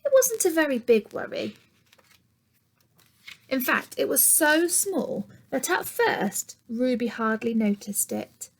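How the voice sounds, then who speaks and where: A woman reading a picture book aloud like a teacher to young children, expressive, sometimes dropping to hushed tones and putting questions to her listeners. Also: high-pitched, slow, slight background noise. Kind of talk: children's storytime read-aloud.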